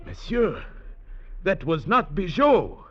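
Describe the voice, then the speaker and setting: An actor playing a stern, excitable French prison commandant, authoritative and theatrical, in 1940s radio drama style. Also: male voice, sits high, slow pace, heavily acted. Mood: shocked, urgent. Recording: muffled old radio recording.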